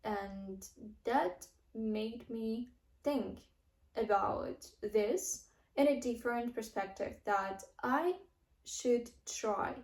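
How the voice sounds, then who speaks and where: A young woman with a Russian accent explains an English-learning method in an unhurried, earnest way, pausing on fillers and often starting her sentences again. Her voice sits a little high and her pace is slow. The recording carries a noticeable echo from the room. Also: darkish tone. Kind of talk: casual teaching monologue to camera. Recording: echoey room.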